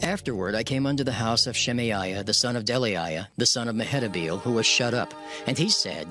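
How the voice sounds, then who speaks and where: A man narrating scripture in the first person in a dramatized reading, solemn and measured. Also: medium pitch. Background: music.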